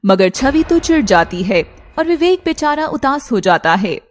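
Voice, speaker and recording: High-voiced woman narrating a cartoon story. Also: sing-song, measured pace, slight background noise.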